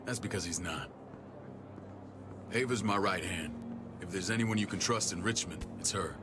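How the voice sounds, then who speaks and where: Gruff, deep-voiced military security chief, played by an actor. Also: male voice, moderate pace, noisy background, lightly acted. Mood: earnest, regretful. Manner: blunt, profane, clipped orders. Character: gruff, commanding military man, hardened and protective older brother. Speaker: security chief of a survivor settlement. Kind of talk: cinematic video game acting.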